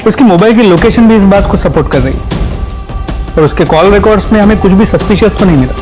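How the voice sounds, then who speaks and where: Keen junior cop, rapid delivery, reporting to his superior in a TV crime serial, loud score behind. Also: high voice, animated. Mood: matter-of-fact. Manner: brisk, deferential, matter-of-fact. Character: keen male police subordinate briefing his boss. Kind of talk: Hindi TV crime drama acting. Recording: loud dramatic music under dialogue.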